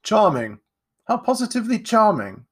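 A man's voice: sits high, huge pitch swings, moderate pace, slightly rough, voice pushed up, exaggerated voice. A man playing a pompous, jovial nobleman. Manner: grand, theatrical, plummy delivery.

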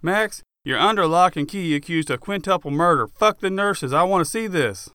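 A man's voice: high-pitched, expressive, moderate pace, pushed and bright, clear, resonant tone, no room sound, clean studio sound, pitched above the performer's own voice. A man voicing a furious, exasperated cop who confronts his friend in emphatic, demanding outbursts for an audiobook. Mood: agitated, insistent.

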